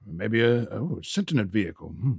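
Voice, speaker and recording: Man playing a raspy, flustered studio boss. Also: fairly low pitch, sing-song, normal speed, darkish tone, no echo, exaggerated voice. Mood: hesitant, uneasy. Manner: stammering, hesitant muttering. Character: flustered, anxious male film studio boss under pressure. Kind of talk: comedic amateur radio play acting.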